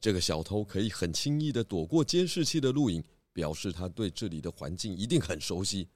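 A man playing a shrewd, confident pig detective in a children's radio play, explaining deductions in a deliberate, theatrical, gently lecturing way. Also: deep voice, lively intonation, no room sound.

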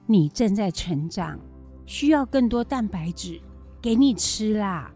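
An elderly, caring grandmother played by a woman in a children's radio drama. She takes her time over her words in a mellow voice. She fusses over and chides those she looks after. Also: mid-range voice, expressive, a little slow, soft background music. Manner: fussing, chiding.

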